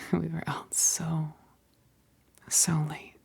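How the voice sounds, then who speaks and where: A woman playing a teasing, affectionate, wistful vampire best friend in a soft, breathy whispered ASMR roleplay, speaking hesitantly. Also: low-pitched, monotone, full character voice. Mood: warm, relaxed. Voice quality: breathy, husky whisper with gravelly edges. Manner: slow, hesitant, punctuated with soft chuckles.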